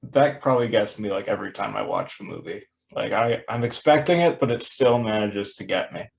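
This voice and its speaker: A man's voice: lowish voice, very animated, fairly quick, vocal fry, low-quality recording. A young man hosting a casual interview, easygoing and leaning on lots of "like" fillers. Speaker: podcast host.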